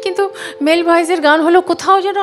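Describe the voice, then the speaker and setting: A woman's voice: very high voice, fairly even intonation, quick pace, music in the background. A middle-aged woman, chatting casually as a singer guest. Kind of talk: casual chat.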